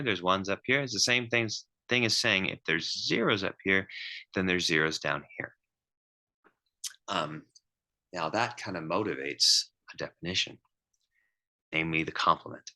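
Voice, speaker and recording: A man's voice: lowish voice, sharp tone. A man giving a recorded math lecture, thinking aloud with frequent ums and restarts.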